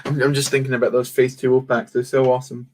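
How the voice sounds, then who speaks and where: A man's voice: medium pitch, flat delivery, quick pace, a little mellow, a little hiss or hum. Guy streaming and chatting while he builds, rambly, keeps restarting his sentences. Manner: rambling, answers chat comments, frequent restarts. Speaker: livestream host. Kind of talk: casual livestream chat while building.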